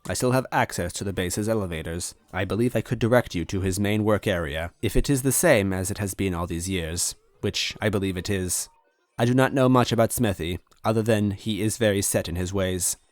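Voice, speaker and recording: Man voicing a low, lonely, formal computer. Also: fairly quick, dry recording, close to an everyday voice. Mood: apologetic. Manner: formal, stilted, precise wording. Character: lonely, apologetic obsolete supercomputer, formal and polite.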